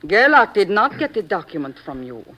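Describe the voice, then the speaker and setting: Bright-voiced femme fatale. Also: female voice, medium pitch, very animated, a little fast, slight background noise, poor audio. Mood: regretful, steely. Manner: precise, formal phrasing, coolly determined. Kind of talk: 1950s radio drama acting. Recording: vintage radio recording.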